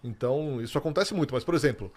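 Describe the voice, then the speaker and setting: A man's voice: medium pitch, fast, darkish tone. A man, a sports commentator, explaining terms with examples in a casual podcast chat.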